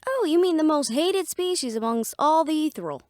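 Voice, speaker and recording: Woman playing high-voiced, defiant young half-fae. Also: animated, fairly quick, darkish tone, no room sound, clean studio sound. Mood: sarcastic, irritated. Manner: sarcastic, defiant, snappy. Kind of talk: dramatic audio roleplay acting.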